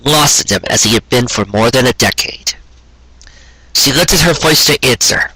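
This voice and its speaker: A man reading a sentimental story aloud in a measured, scripted narrating manner. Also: medium pitch, sharp tone, breathy edge, dry recording, poor audio. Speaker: audiobook reader of fan fiction.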